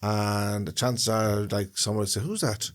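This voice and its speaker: A man's voice: fairly low pitch, fairly even intonation, normal speed. Rambling man in casual chat. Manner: rambling, repeats and restarts phrases while explaining. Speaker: podcast co-host. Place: room, indoors.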